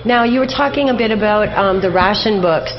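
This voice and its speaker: A woman's voice: mid-range voice, brisk, music in the background. Woman radio interviewer, slightly muffled.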